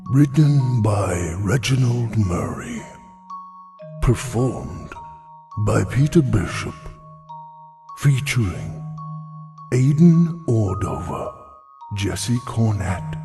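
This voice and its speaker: A man announces credits from a script, slowly and in a lowish voice. Long reverb trails his words. Clear chiming music plays behind.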